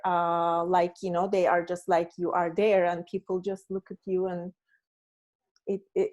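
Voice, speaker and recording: A woman's voice: mid-range voice, a little mellow. A woman from Turkey hosting a casual conversation, hesitant and searching for her words. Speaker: host.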